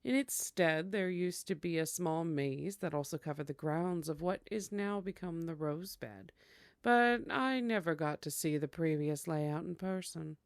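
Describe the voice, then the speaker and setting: A woman's voice: sits low, unhurried, no echo, studio quality. A woman playing a teasing, courtly vampire hostess in a scripted ASMR roleplay, speaking in a coy, formal way. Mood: gracious.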